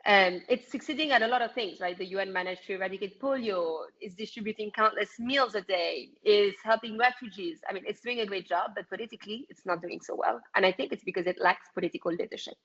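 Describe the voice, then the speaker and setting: A woman's voice: medium pitch, quick pace, hardly any pauses, fairly bright tone, lo-fi sound. Blunt, passionate French-accented woman. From France. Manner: blunt, passionate, frequent ums. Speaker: activist guest. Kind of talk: casual interview answers.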